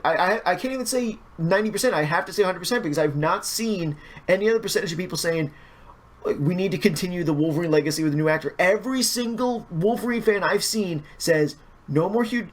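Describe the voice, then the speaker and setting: A man co-hosting a casual podcast about movies, speaking earnestly and emphatically, repeating phrases for emphasis. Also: fairly high pitch. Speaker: podcast co-host.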